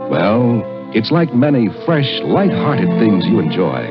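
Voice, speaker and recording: Low-voiced radio ad man, loud music. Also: very animated, measured pace. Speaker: cigarette commercial announcer. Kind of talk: 1960s radio ad read, scripted. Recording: muffled old broadcast with loud music.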